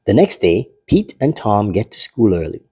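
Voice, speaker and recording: A man narrating a children's storybook aloud in an animated, playful, deliberate way. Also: fairly low pitch, breathy edge, no echo.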